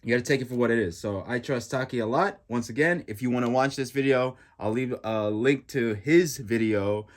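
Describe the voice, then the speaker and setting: A man's voice: mid-range voice, a little fast, few pauses. A man with a congested, stuffy nose giving a casual, rambling reaction review of a gadget, full of fillers and restarts. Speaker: tech reviewer.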